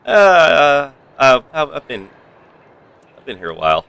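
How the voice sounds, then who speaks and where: A man doing casual live gameplay commentary as a streamer, thinking aloud in a halting way and swearing now and then. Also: medium pitch, wildly swooping pitch, creaky, a bit bright, a little hiss or hum. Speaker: game streamer.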